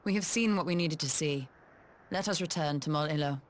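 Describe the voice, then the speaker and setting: An actress playing a composed, analytical scholarly woman in a video game, speaking in a measured, formal, articulate way. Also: sits low, close to an everyday voice. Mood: thoughtful.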